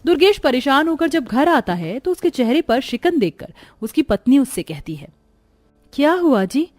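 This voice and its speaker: A woman's voice: high-pitched, big pitch swings, normal speed, darkish tone, no room sound. A woman reading scripted story narration as the narrator of a village tale.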